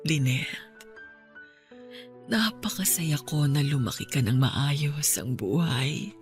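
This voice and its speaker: Ailing older nanny, very low voice, weary, gentle, halting, radio drama, music behind. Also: female voice, lively intonation. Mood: weak, confessional. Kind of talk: Tagalog radio drama acting.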